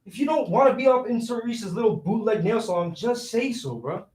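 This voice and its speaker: A cocky young city guy played by a male actor talks in swaggering slang and likes to tease. His voice is high, and it comes across echoey and away from the mic, as at a staged reading. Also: expressive, a little fast, darkish tone. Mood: defensive, teasing. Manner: swaggering, slangy street talk. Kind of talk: staged reading of a one-act play. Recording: roomy echo, voice far from mic.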